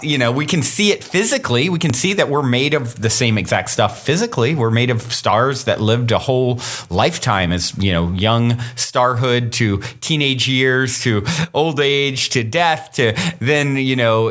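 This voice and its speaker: A man's voice: medium pitch, a bit bright. A man hosting a spiritual podcast, musing aloud and filling his sentences with "you know". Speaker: podcast host. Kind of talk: reflective spiritual conversation.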